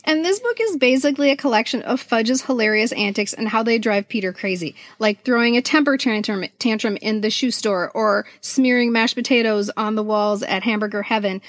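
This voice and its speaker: A woman's voice: fairly high pitch, brisk, hardly any pauses, dry recording, clean studio sound. A middle-aged American woman telling stories casually and expressively as a podcast co-host. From United States. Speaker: podcast co-host and children's author. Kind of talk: casual conversational storytelling about childhood memories.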